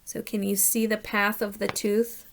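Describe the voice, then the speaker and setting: A woman reading a children's story aloud as a teacher, in an animated, deliberate way, putting questions to her listeners. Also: medium pitch, creaky, a little hiss or hum.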